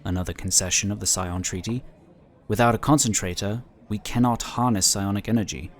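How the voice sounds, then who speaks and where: A man acts out a calm inquisitor of a psionic order for a sci-fi audio drama. He has a fairly low voice and speaks formally and courteously, laying things out with measured care. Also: soft background music, close to an everyday voice. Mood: explaining.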